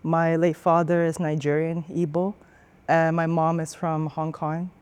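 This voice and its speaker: A woman's voice: sits low, flat delivery, measured pace, darkish tone, clear, resonant tone. A woman from Toronto whose first language is Cantonese, a blunt and reflective artist speaking in a documentary interview. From Toronto, Canada. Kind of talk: documentary interview and spoken-word reading.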